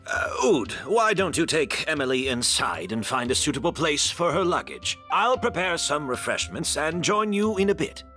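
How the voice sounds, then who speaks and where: An actor playing a gracious, apologetic host who explains things politely and considerately. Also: male voice, on the high side, sing-song, normal speed, hardly any pauses, pushed and bright, soft background music. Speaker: hotel owner and host.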